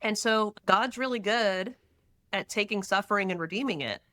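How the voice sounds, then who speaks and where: A pregnant woman from Texas, a podcast guest who talks in quick, animated bursts and tells stories with dramatic asides. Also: mid-range voice, no echo. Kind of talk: casual faith-centered conversation.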